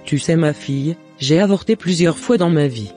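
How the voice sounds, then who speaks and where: Man voicing a mellow, remorseful cartoon mother. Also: a little high, moderate pace, soft background music, natural voice. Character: remorseful middle-aged mother confessing to her daughter. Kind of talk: scripted cartoon dialogue.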